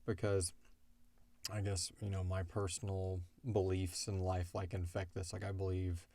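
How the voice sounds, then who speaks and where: A man's voice: low-pitched, level tone, moderate pace, creaky, mellow. A man talking in a casual, rambling, conversational way with lots of "like", as a show's creator answering listener questions. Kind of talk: casual Q&A discussion.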